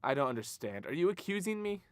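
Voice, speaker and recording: A man's voice: a little high, sing-song, fast, clean tone, no room sound. A man playing an evasive, self-serving bank robber in a radio drama, nervous and pleading. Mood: indignant. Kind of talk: noir radio drama acting.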